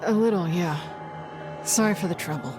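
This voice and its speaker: A woman playing a gruff, curt, fiercely protective warrior in a video game. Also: fairly low pitch, normal speed, lightly acted. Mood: curt, weary. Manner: blunt, terse. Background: game music.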